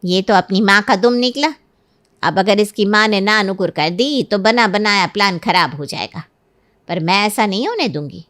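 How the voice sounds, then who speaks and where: A woman's voice: mid-range voice, lively intonation, normal speed, a bit bright, no room sound, studio quality, natural voice. A woman voicing a scheming middle-aged mother of a bride in a cartoon, nagging and lecturing persuasively. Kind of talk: Hindi cartoon story acting.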